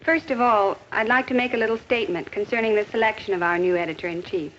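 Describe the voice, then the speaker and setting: A dull, noisy old film soundtrack carries an actress as an earnest woman teacher. She speaks in a measured, formal way at a middle pitch, with caring concern, in 1950s classroom-film style. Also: fairly bright tone, close to an everyday voice. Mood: formal, composed. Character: earnest, caring female high school teacher. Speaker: actor in an educational film. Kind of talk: 1950s educational film acting with inner-thought narration.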